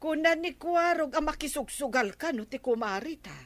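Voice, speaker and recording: A woman's voice: high voice, animated, normal speed, fairly bright tone, dry recording. An actress with an Ilocano accent playing a scolding, emphatic village woman in a radio comedy drama. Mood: disapproving.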